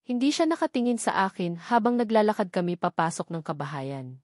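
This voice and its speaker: A woman's voice: mid-range voice, lively intonation, fairly quick, a little mellow, dry recording, clean studio sound. A woman with a Filipino accent narrating a romance novel in the first person. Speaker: audiobook narrator.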